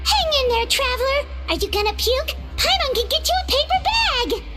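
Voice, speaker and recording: A woman voicing a tiny, excitable, childlike fairy companion in a video game, squeaky and blunt. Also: moderate pace, full character voice. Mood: frantic. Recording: music behind the voice.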